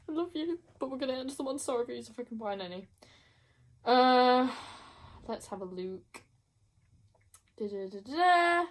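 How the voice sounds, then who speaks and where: Gushing young woman chatting casually. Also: on the high side. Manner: gushing, emphatic, repeats herself. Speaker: book review vlogger. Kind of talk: casual chat to camera.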